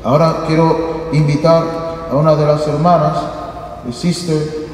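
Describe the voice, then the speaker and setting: A priest preaching a homily in earnest, repetitive phrases with frequent filler tags, in a large church. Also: male voice, a little high, little pitch movement, measured pace, darkish tone, faint music behind. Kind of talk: homily, then interpreting into Spanish. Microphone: headset mic. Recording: echoey church hall from a distant camera.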